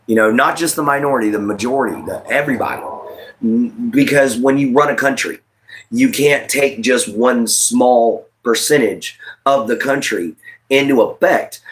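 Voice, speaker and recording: A man's voice: mid-range voice, a little hiss or hum, low-quality recording. An American man in his late thirties hosting a casual livestream, giving rambling, repetitive opinions and addressing listeners directly. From United States. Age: late 30s. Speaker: livestream host.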